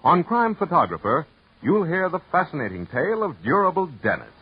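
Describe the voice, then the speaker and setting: Lively male vintage radio announcer. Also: a little high, slightly rough. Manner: formal, declamatory. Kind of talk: 1940s-50s radio announcing. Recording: dull vintage radio recording.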